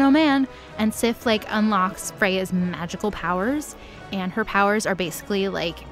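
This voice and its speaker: A woman telling a story in a casual, comedic way, with slangy, sarcastic, playful delivery. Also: medium pitch. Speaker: co-host recapping a fantasy story. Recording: music under the voice.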